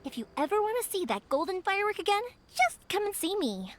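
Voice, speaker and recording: A woman voicing a cheerful, earnest young female fireworks maker in a video game, talking warmly and thoughtfully. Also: extremely high pitch, big pitch swings, fast talker, noisy background. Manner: warm, earnest, reflective with soft laughs. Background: game music.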